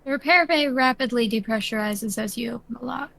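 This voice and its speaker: A woman's voice: on the high side, a little fast, gravelly, a bit bright. A woman running a tabletop role-playing game as game master, explaining rules and describing scenes in a matter-of-fact way.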